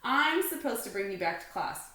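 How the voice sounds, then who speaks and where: A woman's voice: mid-range voice, very animated, moderate pace, bright, forceful tone. A woman voicing a teasing schoolboy turned peacemaker in a storybook, insistent and coaxing. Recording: roomy indoor echo.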